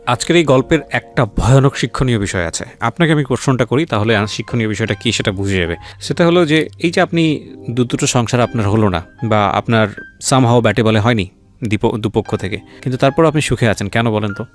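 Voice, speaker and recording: A man's voice: medium pitch, animated, fairly quick, soft background music. A man with a Bangladeshi accent hosts an interview, putting reflective questions and slipping in English words. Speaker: host interviewer.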